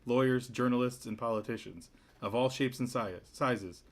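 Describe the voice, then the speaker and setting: A man's voice: medium pitch, normal speed. A man narrating the stage directions of a script at a sitcom table read.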